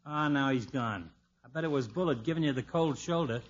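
An actor playing a skeptical working-class barber, slangy, wry and grumbling, in a 1950s radio comedy. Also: male voice, mid-range voice, brisk, close to an everyday voice. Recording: muffled, noisy vintage radio recording.

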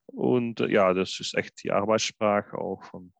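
A man from Belgium, a guest interpreter on a podcast, talking casually and haltingly, full of fillers and restarts. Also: lowish voice, vocal fry, dry recording. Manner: hesitant, many fillers and restarts. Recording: dull, lo-fi, dry sound.

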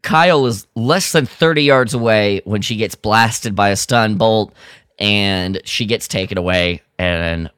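Man, podcast co-host, casual critique, exasperated, slow-paced delivery, dry close sound. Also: on the low side, fairly bright tone, smooth, no echo. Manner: exasperated critic, acts out mock quotes. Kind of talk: casual review chat.

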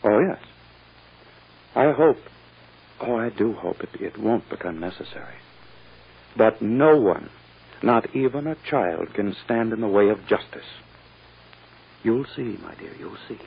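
A smug courtroom schemer from vintage radio drama. His voice is low and husky with a gravelly edge. He talks down to people in a deliberate, pompous way, ruthless beneath the silk. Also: expressive, full character voice. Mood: coldly menacing. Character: smug, ruthless, silky male prosecuting lawyer. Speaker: actor. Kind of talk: 1940s radio drama acting. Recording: muffled old-time radio recording.

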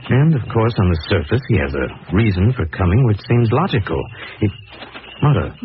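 Principled crime-fighter, authoritative yet lively intonation, narrow vintage radio sound. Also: male voice, on the low side. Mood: grave, resolute. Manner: authoritative, deliberate explanations. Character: calm, assured, principled male crime-fighting hero. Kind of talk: 1940s radio acting. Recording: tinny, noisy 1940s radio recording.

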